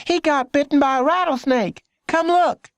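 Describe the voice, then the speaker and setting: A man's voice: normal speed, no room sound. A man voicing a whiny, indignant little girl in a children's audiobook. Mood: excited. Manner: whining, shrill complaining.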